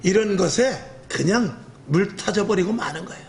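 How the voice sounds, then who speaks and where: High-pitched rough-voiced male pastor preaching. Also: moderate pace, slight background noise. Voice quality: rough, earthy voice. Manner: lively, acts out quoted speech, self-mocking humor. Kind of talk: evening church sermon.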